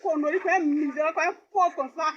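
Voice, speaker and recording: A scornful, fiery poor young woman, played by a woman with a very high voice. Her speech often breaks between sobs and laughter. The recording sounds dull and muffled. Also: steady tone, a little fast, fairly bright tone, slight background noise. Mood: indignant.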